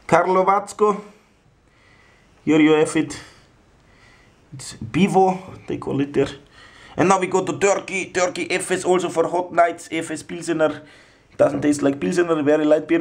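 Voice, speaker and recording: A young man, speaking English as an in a halting, mock-serious way as he presents a ranking informally. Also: on the high side. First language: not English. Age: young adult. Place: small indoor room at home.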